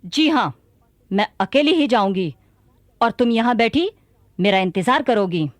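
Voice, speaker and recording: High-voiced bold warrior sultana Razia. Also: female voice, lively intonation, a little slow, dry recording. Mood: resolute. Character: Razia, bold commanding young warrior sultana, devoted daughter. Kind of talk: Hindi radio drama acting.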